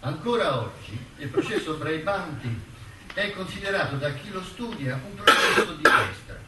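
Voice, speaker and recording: Stage drama narrator: embittered, articulate accused intellectual, very varied intonation, noisy distant recording. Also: male voice, a little high, very animated, normal speed, reverberant. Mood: bitter, pointed. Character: wry, bitter accused male intellectual recounting his trial. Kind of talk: theatrical narration.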